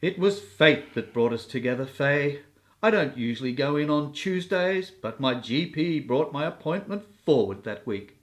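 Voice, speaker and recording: A man's voice: on the high side, animated, normal speed, lightly acted. A man playing a jaded, sardonic older radio actor in a comedy about a long-running serial. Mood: melodramatic, romantic.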